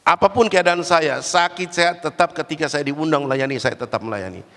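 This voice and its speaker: Guy preaching in a big church with a cold, kinda high voice, loud then hushed, long echo. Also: brisk. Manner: swings between loud, high exhortation and low, quiet asides. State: has a cough and a cold. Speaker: guest preacher. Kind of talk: Pentecostal sermon. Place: large church hall. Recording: church hall with long reverb.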